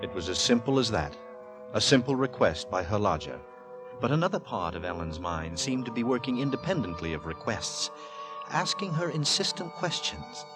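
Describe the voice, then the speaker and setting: A man narrating in 1940s radio drama style, literary, deliberate and suspenseful. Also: mid-range voice, big pitch swings. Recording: vintage 1940s radio with music.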